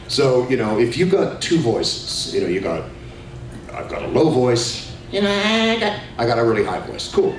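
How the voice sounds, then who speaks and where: A middle-aged man, a voice actor on a convention panel, talking in an animated, jokey way and slipping into character voices. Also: mid-range voice. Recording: echoey hall, far from mic, noisy.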